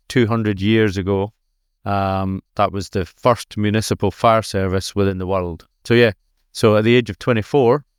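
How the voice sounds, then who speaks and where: Scottish man telling history, low clear voice. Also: no room sound, studio quality. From Scotland. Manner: explanatory, hesitant with fillers. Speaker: podcast guest. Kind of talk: conversational storytelling. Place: conference exhibition booth.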